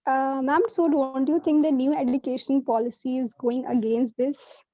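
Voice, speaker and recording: A young woman politely questions her teacher in a high, mellow voice. She is heard from some distance, and the recording is dull and lo-fi. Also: level tone, normal speed, no echo. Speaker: student. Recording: dull lo-fi sound from off mic.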